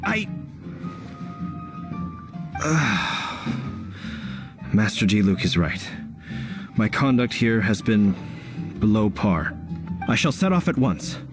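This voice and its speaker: An actor playing an earnest, dutiful, easily embarrassed knight in a video game, speaking formally with hesitant stammers. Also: male voice, fairly low pitch, very animated, slow, pauses often. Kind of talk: animated video game voice acting. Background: background music.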